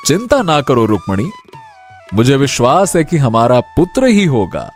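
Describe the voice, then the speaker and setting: A man's voice: medium pitch, huge pitch swings, measured pace, soft background music. A man playing a jubilant, generous king in a cartoon story, speaking in an exuberant, effusive manner. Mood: fond, reassuring. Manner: exuberant, effusive, frequent laughter. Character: jubilant, generous king and proud expectant father. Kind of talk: Hindi cartoon story acting.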